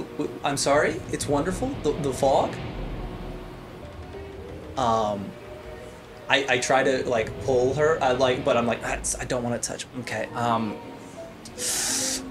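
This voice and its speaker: A man's voice: medium pitch, sing-song, a little slow, pauses often, over music. A man playing a tabletop game, improvising casually in character with hesitant, stop-start phrasing.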